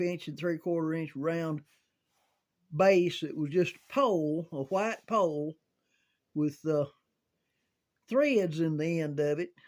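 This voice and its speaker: An older man telling casual, rambling recollections in a halting, folksy way, as if thinking aloud. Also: sits high, a little mellow. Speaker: amateur storyteller reminiscing about CB radio.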